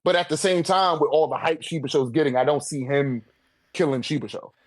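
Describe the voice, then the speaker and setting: A man's voice: on the high side, a little fast, dry recording. An American man chatting casually in a fan debate, swearing freely and leaning on slang and filler phrases. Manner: slangy, profane, repeats "like" and "you know what I'm saying".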